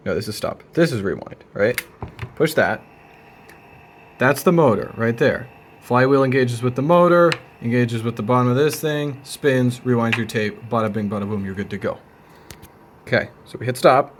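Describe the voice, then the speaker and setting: A young man with a mid-range voice talks through hands-on work in a casual vlog style. His delivery is deadpan and slangy, with a wry edge. There is faint noise behind him from a handheld recording indoors. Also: medium pitch. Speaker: video creator. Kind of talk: casual hands-on repair vlog, narrating as he works. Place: indoor room at a desk.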